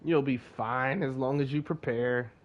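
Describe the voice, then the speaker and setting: A man's voice: medium pitch, fairly even intonation, a little slow, highs cut off. A man giving laid-back, slangy commentary as he plays a video game and reads along. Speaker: streamer playing a game. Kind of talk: casual gameplay commentary. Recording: game music behind.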